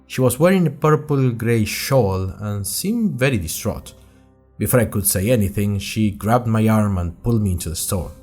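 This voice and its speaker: A man's voice: fairly low pitch, lively intonation, normal speed, a little mellow, faint music behind. A man playing an earnest young college student who tells his story in a wry, conversational way, as host of an audio drama diary. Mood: chatty storytelling. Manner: conversational, wry, addresses listeners directly. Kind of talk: scripted first-person audio drama narration.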